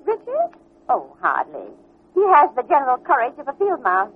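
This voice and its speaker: A woman playing a prim, imperious middle-aged New England schoolteacher with an American accent, brisk and unflappable, in old-time radio drama style. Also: high voice, huge pitch swings. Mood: breezy, stubborn. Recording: tinny, band-limited vintage radio recording.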